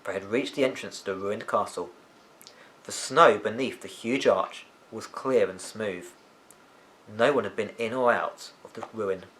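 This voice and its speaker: A man reading a children's story aloud as an expressive storyteller. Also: lowish voice, a little slow, rough recording. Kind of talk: animated children's audiobook narration.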